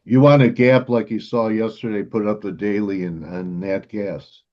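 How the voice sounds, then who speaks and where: A man's voice: fairly low pitch, fairly even intonation, normal speed, darkish tone, muffled recording. A man hosting a trading interview, casually discussing markets with his guest.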